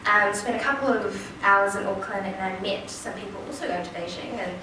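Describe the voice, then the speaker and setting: A woman's voice: mid-range voice, fairly quick. A woman in her twenties with a New Zealand accent, telling a chatty personal story as a speaker at a forum. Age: 20s. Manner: chatty, lively storytelling with quoted asides. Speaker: panel speaker. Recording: echoey hall from far off, noisy.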